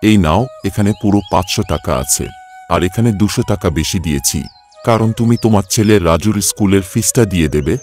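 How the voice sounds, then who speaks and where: A man playing a patronising but concerned employer in a cartoon story, talking in a measured, authoritative way. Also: fairly low pitch, darkish tone, soft background music, natural voice. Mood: appreciative. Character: male employer, businessman boss, concerned and patronising. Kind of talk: Bengali cartoon story voice acting.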